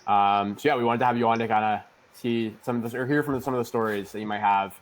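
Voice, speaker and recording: A man hosting a podcast, introducing the topic in a relaxed, hesitant way. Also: mid-range voice, quick pace, a little hiss or hum. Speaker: podcast host. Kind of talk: casual chat.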